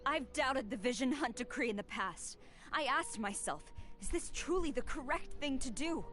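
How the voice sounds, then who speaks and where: A woman's voice: sits high, exaggerated voice. An actress playing a stern, loyal young woman general who speaks firmly and righteously, in a video game. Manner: firm, righteous, forceful.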